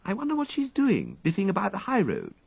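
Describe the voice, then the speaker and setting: A man voicing a bumbling, kind-hearted young gentleman in a storybook, with a breezy, exclamatory upper-class English accent. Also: high voice, huge pitch swings, a little fast, creaky, breathy edge, dry recording, voice pushed up, full character voice. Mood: idle musing.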